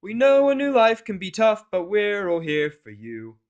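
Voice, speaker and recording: A man's voice: sits high, wildly swooping pitch, normal speed, dull audio, voice pushed up. A man voicing a bubbly, sweet young female cartoon pony in a fan audio drama. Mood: joyful singing.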